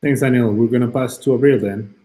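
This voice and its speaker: A man from Ecuador, a PhD student, introducing himself and passing the turn on a video call, slightly halting. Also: medium pitch, fairly even intonation, dark tone. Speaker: PhD student and teaching assistant.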